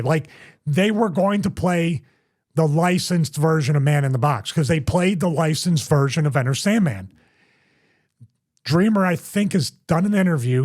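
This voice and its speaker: A man's voice: fairly high pitch, a little mellow. A middle-aged man hosting a casual podcast, telling long rambling stories with restarts and repeats. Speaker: host, former wrestler.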